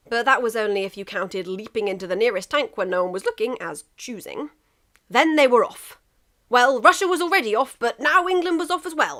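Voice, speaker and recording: A woman narrating a comic piece of fan fiction in an expressive, emphatic storytelling manner. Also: on the high side.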